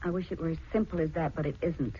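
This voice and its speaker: A woman playing a resolute, wounded young wife with measured delivery in a 1940s radio melodrama, on a muffled recording. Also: low voice, fairly even intonation, dark tone, breathy edge. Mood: sad, resolute.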